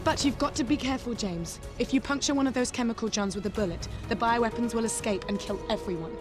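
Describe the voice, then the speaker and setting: A woman's voice: fairly high pitch, quick pace, over music, natural voice. A woman playing a poised young agent who grows anxious under danger, in a cinematic action game. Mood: composed.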